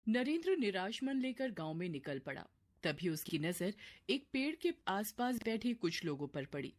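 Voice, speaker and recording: A woman's voice: medium pitch, big pitch swings, normal speed. A woman narrating a scripted moral story as a storyteller. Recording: faint music behind the voice.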